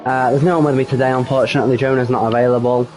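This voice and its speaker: A man giving solo live commentary on an online racing league, slightly croaky from a cold, hesitant with frequent ums and self-corrections. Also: medium pitch, little pitch movement, very fast, a little mellow.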